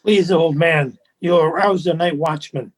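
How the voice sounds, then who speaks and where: Man acting a sly, drawling, smug gentleman. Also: a little high, a little slow. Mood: smug, mock sympathy. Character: suave, sly, insincere gentleman, teasing and smug. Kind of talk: radio play acting.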